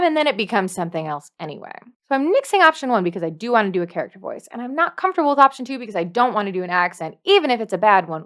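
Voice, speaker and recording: A woman's voice: on the high side, bright, forceful tone. A woman presenting a scripted how-to video in a playful, emphatic way, repeating points for effect. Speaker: dungeon master giving tips as video host. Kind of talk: scripted instructional video talk to camera.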